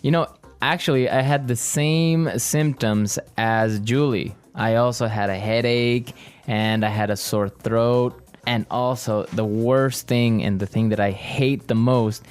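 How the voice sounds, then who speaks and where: Guy teaching english phrases on a podcast, repeats stuff slowly, soft music in back. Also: mid-range voice, steady tone, clear, resonant tone. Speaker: co-host of an English lesson podcast. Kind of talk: scripted teaching chat.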